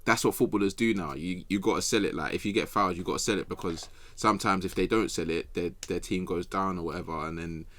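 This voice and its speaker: A man's voice: sits low, fast talker, hardly any pauses, fairly bright tone. A young man chatting informally and in slang about football as a podcast panellist. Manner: informal, slangy, repeats phrases. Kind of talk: casual football chat.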